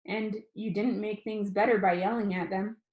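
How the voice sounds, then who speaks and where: A woman's voice: mid-range voice, moderate pace, highs cut off, close to an everyday voice. Subdued, withdrawn schoolgirl, read by a woman, echoey. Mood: flat, detached. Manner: subdued, flat, too calm. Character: grieving, withdrawn, emotionless-sounding schoolgirl. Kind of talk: storybook character reading. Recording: roomy, echoing room sound.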